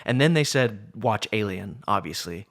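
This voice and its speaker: A man with a fairly low voice in casual podcast conversation. He talks haltingly and informally, like a co-host thinking out loud about films. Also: expressive. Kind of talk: casual chat.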